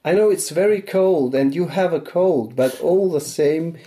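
Mellow-voiced publisher hosting an interview. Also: male voice, fairly high pitch. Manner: measured, deliberate questions with frequent "I see". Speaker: publisher and podcast host.

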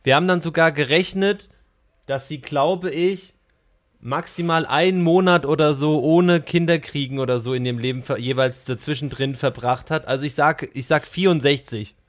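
A man's voice: fairly high pitch, normal speed. A man co-hosting a podcast, chatting casually among friends. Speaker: podcast co-host.

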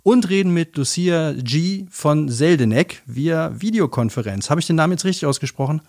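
A man's voice: on the high side, animated, moderate pace, a little mellow, no echo. A man hosting a podcast from a small studio, chatty, with joking asides. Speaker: podcast host. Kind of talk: casual podcast chat.

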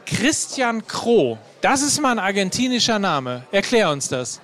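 High-voiced joking male host. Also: very animated, fairly bright tone. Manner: jokey, quick, with fillers. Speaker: podcast host. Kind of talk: live show moderation. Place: live event.

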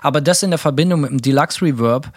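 A man's voice: a little high, fast, no echo, clean studio sound. A man co-hosting a casual podcast chat, rambling with many fillers and restarts. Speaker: podcast co-host.

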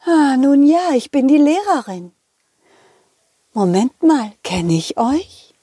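Woman as weary, high-voiced lemon schoolteacher. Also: sing-song, dark tone, no room sound, clean studio sound, exaggerated voice. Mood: confused. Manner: weary, sighing, drawn-out complaining. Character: sleepy, listless grown-up lemon, a schoolteacher.

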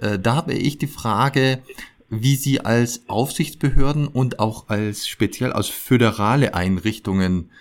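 A man interviewing formally, putting his questions in a halting, hesitant way. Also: lowish voice.

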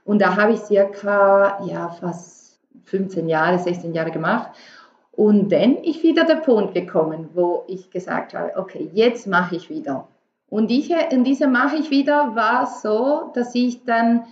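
Female interview guest, middle-aged, Venezuelan Spanish accent, halting casual delivery, dull roomy sound. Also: mid-range voice. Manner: halting non-native speech with English business words. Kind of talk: casual personal storytelling. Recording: muffled, echoey room.

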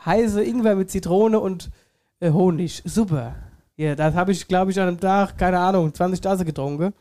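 A podcast co-host talks casually through a headset, his nose a little stuffy from a cold. His voice sits high but sounds dark and mellow. He rambles and laughs easily. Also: normal speed. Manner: chatty, laughs often, rambling restarts. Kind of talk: casual chat. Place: home studio, seated in armchair.

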